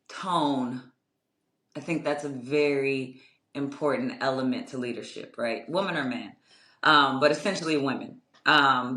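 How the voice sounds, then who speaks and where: Strong-voiced woman in an echoey room. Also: sharp tone. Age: young adult. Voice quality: strong, low-ish voice. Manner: measured and reflective, many fillers and restarts. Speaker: guest, construction company owner. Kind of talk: interview conversation.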